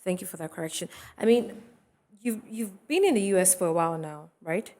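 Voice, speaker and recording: A woman hosting a television talk show, her voice sitting a little low for a woman. She keeps the conversation moving in a chatty way and laughs easily between remarks, speaking from a studio set. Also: fairly quick, mellow.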